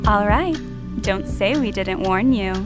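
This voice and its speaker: A woman acts out a young female monster hosting a horror podcast. She tells her tales slowly and theatrically, with creepy menace. Music plays clearly behind her. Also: medium pitch, lively intonation, lightly acted. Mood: eerie, gleeful. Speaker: podcast host. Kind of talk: scripted horror storytelling.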